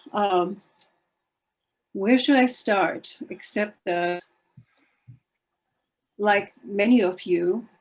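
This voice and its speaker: Older woman telling wry stories, gravelly. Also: on the low side, darkish tone, poor audio. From Germany. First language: Polish. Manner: wry, self-deprecating, chatty. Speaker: guest speaker. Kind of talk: casual storytelling talk. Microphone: video call.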